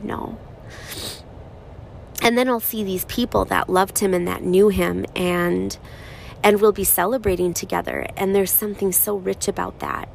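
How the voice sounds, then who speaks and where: A woman in her thirties speaking slowly and softly in a reflective personal monologue, her voice raspy from crying. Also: medium pitch, slight background noise, poor audio. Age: 30s. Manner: slow, soft-spoken, halting with pauses.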